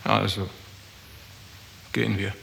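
An actor playing an overbearing, patronising official who coaxes and threatens in a 1960s German radio drama. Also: male voice, on the low side, level tone, slow, vocal fry, fairly bright tone, slight background noise, heavily acted. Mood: smug, coaxing. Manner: patronising, speaks in proverbs and slogans. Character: overbearing male bureaucrat, smugly coaxing and menacing.

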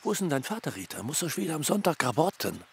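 An actor playing a jovial middle-aged German engineer in a radio drama, speaking in a flowery, teasing way. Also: male voice, a little high, very animated, very fast, creaky. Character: jovial German engineer, playful family friend. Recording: noisy outdoor ambience.

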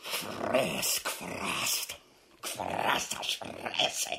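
A man's voice: low voice, very animated, extremely slow, creaky, pushed and bright, exaggerated voice. An actor with an Austrian accent playing a vain, crude ex-king and captain in a farcical radio play, pompous and bumbling, repeating himself. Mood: dreamy muttering. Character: blustering, vain, crude, easily tempted ex-king and dragoon captain. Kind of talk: farcical radio play acting in broad dialect.

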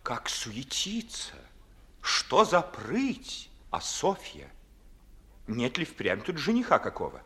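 An actor playing a sardonic, ardent freethinking gentleman, declaiming verse in a mocking, oratorical manner in a 1950s radio play. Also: mid-range voice, huge pitch swings, slow pace, full character voice. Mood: pensive, uneasy. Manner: mocking, oratorical, crisply phrased.

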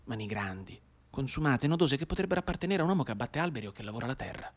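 A man playing a bedridden wounded soldier in a radio drama monologue, intense and soliloquising, with a breathy voice that can drop into whispers. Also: mid-range voice, expressive, very fast. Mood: wistful, tender. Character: bedridden wounded young soldier, feverishly counting time.

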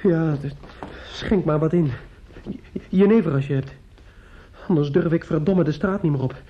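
An actor in a radio play playing a shaken young man at his wits' end, talking in a halting, agitated, repetitive way. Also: on the high side, natural voice. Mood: distraught. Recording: dull, noisy old radio play recording.

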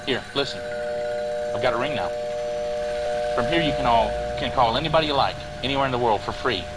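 Male demonstrator, mid-range voice, deliberate step-by-step delivery, recorded against noisy traffic. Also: medium pitch. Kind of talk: excited demonstration, talking listeners through each step. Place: outdoors by a phone booth.

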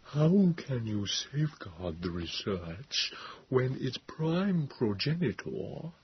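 A grave, unhurried Morlock, put on by a man reading a novel aloud. He weighs every word slowly and soberly. The husky voice is dry and sounds a little dull, as in a lo-fi recording. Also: medium pitch, very animated, no echo, voice pushed up, exaggerated voice. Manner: slow, measured, grave. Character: slow, solemn male Morlock scholar.

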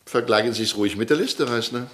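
A man's voice: mid-range voice, fast talker, a bit bright, close to an everyday voice. A man playing a smug, triumphant policeman in a German crime radio play, needling a colleague in short, teasing, punchy phrases.

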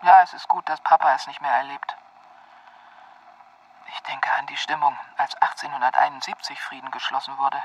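An actor voicing a grieving, solemn letter writer in a literary radio drama. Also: male voice, medium pitch, normal speed, far from the mic, close to an everyday voice, rough recording. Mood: sorrowful.

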